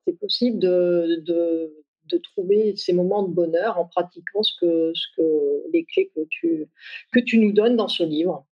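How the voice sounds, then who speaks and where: A woman's voice: fairly low pitch, expressive, unhurried, breathy edge. A woman guest giving a short, hesitant answer, trailing off at times, heard through a dull lo-fi recording. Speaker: guest, a reader of the book. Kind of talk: casual interview answer. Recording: dull lo-fi remote sound.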